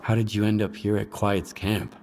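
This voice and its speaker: A man's voice: fairly low pitch, flat delivery, moderate pace, gravelly, a little mellow, slight background noise. A man voicing a terse male stalker in a video game, asking blunt questions.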